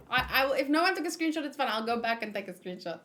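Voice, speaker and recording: A woman hosting a livestream read-along, chatting casually in an excitable, playful, expressive way. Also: sits high, a little fast, a little hiss or hum. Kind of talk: casual livestream chat mixed with animated read-aloud.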